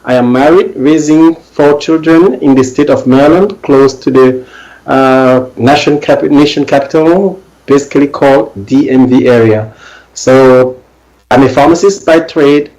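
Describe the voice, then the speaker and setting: A man's voice: mid-range voice, a little mellow, poor audio. A middle-aged man from Cameroon, a native French speaker with a Cameroonian accent, answering questions as an interview guest. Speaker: interview guest, event organizer.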